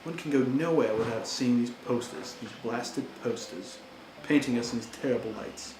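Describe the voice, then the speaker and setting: An earnest, indignant German-born immigrant makes his plea, as a man acts him out, with an ordinary mid-pitched voice and no accent. The room rings with echo, and steady noise sits behind him. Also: normal speed, close to an everyday voice. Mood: pleading. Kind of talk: amateur historical role-play monologue. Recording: echoey room with background noise.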